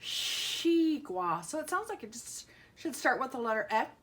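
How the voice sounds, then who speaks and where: Bright-voiced woman teaching young children, unhurried. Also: fairly high pitch, big pitch swings. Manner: simple explanations with questions to young children; sounds out letters and words with emphasis. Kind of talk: children's lesson and picture-book read-aloud.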